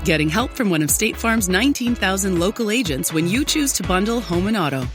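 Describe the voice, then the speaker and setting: A woman delivers a scripted advertisement as an announcer. Her mid-pitched voice moves in a lively, varied way over a faint music bed. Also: brisk. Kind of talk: scripted insurance ad read.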